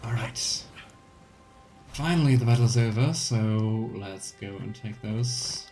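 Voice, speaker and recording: A man's voice: fairly low pitch, slow, a little mellow. A young man giving casual, playful live commentary as he plays a video game, chatting to the game and viewers. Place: small indoor room at home. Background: game music.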